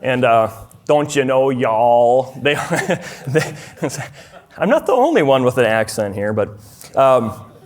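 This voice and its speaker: A man in his forties with a Minnesotan accent, speaking at a church conference in a mid-pitched voice. He talks in a loose, conversational way, with fillers and restarts. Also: sing-song, slow pace. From Minnesota, USA. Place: church hall.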